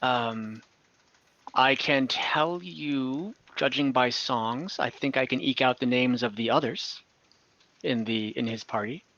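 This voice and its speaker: A game master improvising tabletop game lore, speaking haltingly and searching for words. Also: medium pitch, fairly bright tone, dull audio. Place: online group call.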